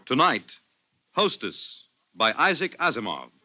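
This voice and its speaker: A man's voice: medium pitch, sing-song, a little slow. A man announcing a 1950s radio show in a portentous, theatrical style. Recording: dull 1950s radio recording, music behind.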